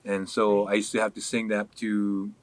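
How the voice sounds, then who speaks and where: A man from Hawaii, a podcast guest, chatting casually and telling animated stories, laughing often. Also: fairly low pitch, normal speed. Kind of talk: casual interview chat.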